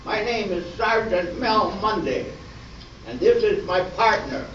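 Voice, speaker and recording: A by-the-book police sergeant acted by a man in a radio-play parody. The voice is high for a man and very slow, with deadpan delivery, caught in a muffled, echoey room. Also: distant mic, a little hiss or hum. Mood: businesslike. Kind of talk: amateur stage radio play, narrated police-drama parody.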